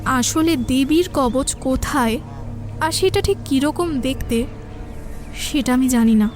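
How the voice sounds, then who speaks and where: An actress playing a gentle young village woman in an audio drama, speaking in an earnest, measured way. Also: sits high, faint music behind. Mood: grave, secretive. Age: young adult. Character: gentle, soft-natured young village woman, childhood friend.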